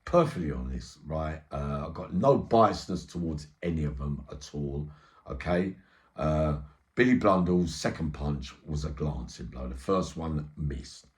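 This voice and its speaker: A man's voice: a bass voice, expressive, unhurried. A middle-aged man telling an eyewitness story informally, in streetwise slang with frequent check-in tags. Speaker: storyteller and channel host.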